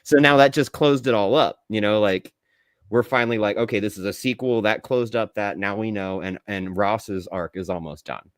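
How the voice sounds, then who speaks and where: A man's voice: lowish voice, normal speed, no echo, studio quality. A man co-hosting a podcast, chatting casually about movies in opinionated, sweary slang. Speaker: podcast co-host. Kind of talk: casual movie review chat.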